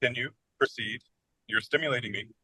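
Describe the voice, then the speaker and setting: A man's voice: mid-range voice, fairly quick, pushed and bright, slightly rough, no room sound, low-quality recording. A man playing a self-deprecating, whimsical American writer in his twenties in a radio comedy, with a wry, wordy, theatrical delivery. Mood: rueful, teasing. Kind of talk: radio play comedy dialogue.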